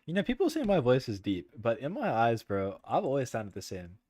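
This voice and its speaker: A young man streaming and chatting loosely with viewers, rambling with lots of "like" and "bro" and frequent laughter, in a soft voice. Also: mid-range voice, huge pitch swings, brisk, dry recording, clean studio sound. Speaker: livestreamer. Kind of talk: casual chat with stream viewers.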